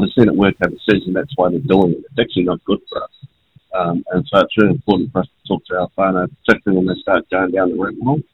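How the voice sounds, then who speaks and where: Gravelly New Zealand man on a phone line. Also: deep voice, dry recording. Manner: impassioned, pointed. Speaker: politician guest. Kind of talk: phone-in interview. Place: on a train.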